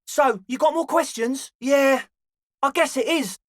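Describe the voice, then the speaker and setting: A man's voice: extremely high pitch, a little slow, no room sound, studio quality. A male actor playing an eager, earnest young raver in a video game, mixing slacker slang with grandiose, slightly pompous phrasing. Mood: defensive. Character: balding twenty-year-old raver dreamer, eager, earnest, slightly pompous. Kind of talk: video game character dialogue.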